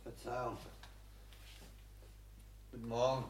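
An actor playing a frail, fussy barber lodging in a household, politely stilted, in a 1960s German radio play. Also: male voice, medium pitch, little pitch movement, takes it slowly, lightly acted. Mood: polite, hesitant. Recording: faintly noisy 1960s radio recording.

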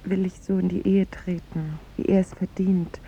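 An actress playing a charming young woman in a 1950s radio drama, speaking softly, earnestly and with delicate restraint, gently resolute in parting. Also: flat delivery, moderate pace, creaky. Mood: earnest, tender. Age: young adult. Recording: muffled vintage radio recording, rumbling hiss.

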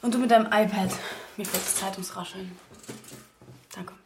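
A woman's voice: medium pitch, steady tone, moderate pace, background noise, close to an everyday voice. A woman in her twenties playing a cool, guarded drug dealer in a naturalistic radio drama, controlled and matter-of-fact. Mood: calm, intimate. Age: 20s.

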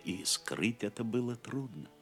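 A man narrating an audio play as a dramatic storyteller, theatrical and measured. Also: medium pitch, expressive, over music.

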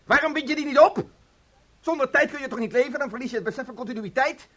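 An actor in a radio drama playing a pompous, fussy, self-absorbed husband who talks reproachfully. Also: male voice, very high-pitched, fast talker, sharp tone, heavily acted. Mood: peevish, pompous.

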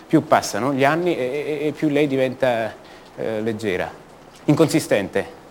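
A man's voice: medium pitch, darkish tone, slight background noise, natural voice. An actor playing a restless, defensive young man from a privileged family in a radio monologue, hesitating and repeating words. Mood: bitter, resigned. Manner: hesitant, halting, repeats words, rhetorical tags. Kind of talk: theatrical monologue, radio drama.

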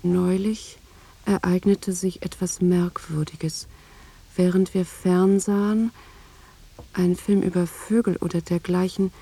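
A woman's voice: lowish voice, warm tone, breathy edge, heavily acted. An actress playing a wary wife who informs in secret, reading her report in a restrained, matter-of-fact way as a radio drama monologue.

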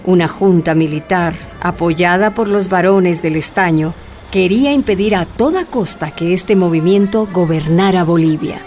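A woman's voice: fairly low pitch, normal speed, over music. A woman narrating a scripted radio drama.

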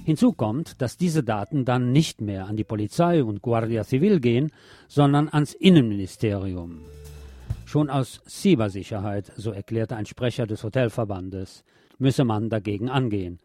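A man's voice: lowish voice, animated, moderate pace, dark tone, dry recording, soft background music. A man presenting scripted local news on the radio, reading as a narrator of reports.

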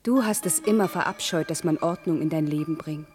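An actress playing a seductive, urgent temptress of adventure and freedom in a theatrical, declamatory radio play style. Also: female voice, low voice, a little fast, darkish tone, soft background music, close to an everyday voice. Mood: reproachful, coaxing.

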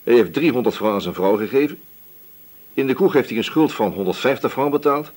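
An actor playing a shrewd, sceptical police inspector in a Dutch radio drama, questioning briskly and with authority. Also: male voice, mid-range voice, lively intonation, a little mellow, husky. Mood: puzzled, deliberate.

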